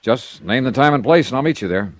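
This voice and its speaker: A lively, wide-swinging voice for a male investigator played by an actor, crisp and clipped. He is easygoing but suspicious, in the style of a fifties radio detective show. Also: mid-range voice, brisk, lightly acted. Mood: casual, suspicious. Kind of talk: 1950s radio drama acting and narration. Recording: 1950s radio broadcast recording.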